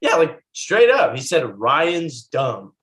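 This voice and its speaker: A man's voice: medium pitch, big pitch swings, slow, fairly bright tone. A man playing a blunt, aggrieved buddy in his twenties in a comedy script table read.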